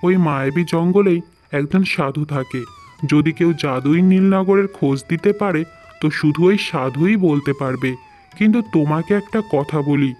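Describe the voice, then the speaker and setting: Casting: male actor as forest sage in a cartoon, deliberate, advisory, solemn, music underneath. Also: on the high side, darkish tone, lightly acted. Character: forest holy man, solemn, cautioning hermit.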